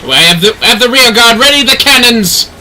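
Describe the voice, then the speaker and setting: A man's voice: very high voice, animated, fairly quick, sharp tone, slight background noise, heavily acted, rough recording. A man voicing fervent fantasy knight commanders in a video game, declaiming urgent battle cries to rally troops. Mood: rousing, defiant.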